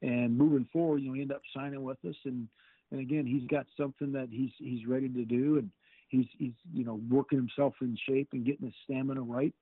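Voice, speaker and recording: A middle-aged football coach answers questions over a tinny, phone-like line that sounds completely dry. He talks in halting bursts and keeps repeating words, but stays upbeat. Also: medium pitch, steady tone, no echo. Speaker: football defensive coordinator. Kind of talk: interview answers.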